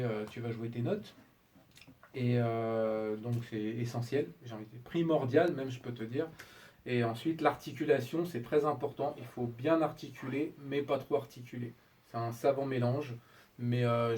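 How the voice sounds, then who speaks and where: A middle-aged man explains his craft as an interviewed musician, informal and colloquial, halting and correcting himself. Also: medium pitch, darkish tone. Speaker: interviewed musician, talkbox player and producer. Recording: distant mic, noisy room background.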